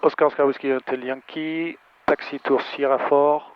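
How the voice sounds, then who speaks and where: A man's voice: fairly high pitch, flat delivery, normal speed, clean tone. Male student pilot on dull radio intercom. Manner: tentative, checking with short questions. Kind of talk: practising air traffic radio calls. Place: small training aircraft cockpit. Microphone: aviation headset. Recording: muffled aircraft radio intercom.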